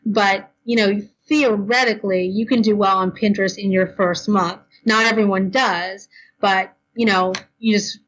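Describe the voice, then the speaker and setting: A woman's voice: mid-range voice, fairly even intonation, fairly bright tone, highs cut off. Tired, chatty woman giving blog advice. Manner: chatty, fast, lots of ums and you knows. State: tired, losing her voice. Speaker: blogger guest. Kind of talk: podcast interview, casual advice.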